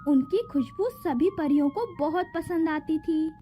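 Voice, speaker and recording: A woman's voice: high voice, measured pace, mellow, soft background music. A woman narrating a children's fairy tale from a script.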